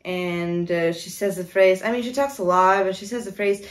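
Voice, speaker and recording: A woman's voice: mid-range voice, normal speed. A woman in her twenties giving a casual, exasperated and sarcastic film review to camera in a room. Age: 20s. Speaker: video commentator. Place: small room indoors.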